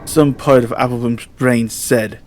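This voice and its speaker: A man reading scripted horror fiction as narrator in a measured, solemn storytelling manner. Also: mid-range voice, fairly even intonation, darkish tone.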